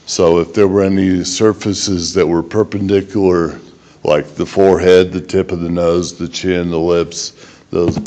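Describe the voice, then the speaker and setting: A man with a very deep voice speaks as a researcher at a conference. His delivery is plain-spoken and halting as he explains technical work. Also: dark tone. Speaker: research presenter. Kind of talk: conference presentation, then answering questions.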